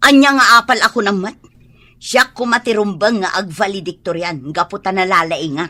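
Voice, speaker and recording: A spiteful, envious wife in a radio drama. Her voice is bright and cutting, mid-pitched, with an Ilocano accent and a scornful delivery. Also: moderate pace. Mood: resentful, defensive.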